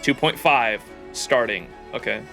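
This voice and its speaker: A man doing casual livestream commentary on a game, excitable and rambling as he chats. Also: medium pitch, unhurried, creaky. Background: game music.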